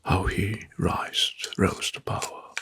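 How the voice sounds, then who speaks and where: Slow, whispering man doing ASMR narration. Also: no room sound, studio quality. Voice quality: breathy, hushed whisper. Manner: slow, deliberate, repeats words softly.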